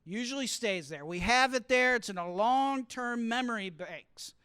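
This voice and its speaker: A man giving a lively teaching talk to students, often asking the room questions as he explains. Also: very high voice, normal speed, clear, resonant tone, no room sound, studio quality. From grew up partly in Costa Rica. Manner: asks the audience questions, explains with extended metaphor. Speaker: college speaker teaching study skills. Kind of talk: interactive classroom talk.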